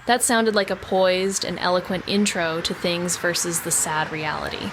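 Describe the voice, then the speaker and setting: A woman's voice: on the low side, fairly even intonation, moderate pace, lightly acted. Wry young woman acting, ambient noise behind. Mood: wry, ambivalent. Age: young adult. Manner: sardonic, conversational, rambling asides. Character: wry, self-deprecating young woman returning to her hometown, former teen detective. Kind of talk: first-person audio drama narration. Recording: noticeable background ambient noise.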